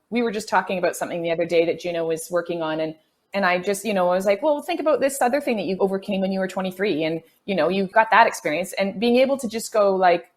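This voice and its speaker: A woman in her forties talking casually as an interview guest, with an animated, emphatic and informal way of speaking. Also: mid-range voice. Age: 40s. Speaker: podcast guest, actor.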